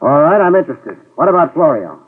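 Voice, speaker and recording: An actor in a 1950s radio detective drama playing a tough, wisecracking middle-aged private eye who narrates in dry hard-boiled style. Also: male voice, on the high side, sing-song, a little fast, breathy edge. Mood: probing. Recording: tinny lo-fi 1950s radio with music cues.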